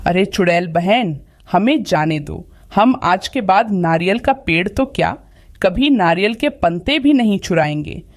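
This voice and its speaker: A woman's voice: mid-range voice, lively intonation, a little slow, no echo, studio quality, lightly acted. A woman playing a greedy, quarrelsome village wife in a cartoon story, with a dramatic scolding delivery. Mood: terrified pleading.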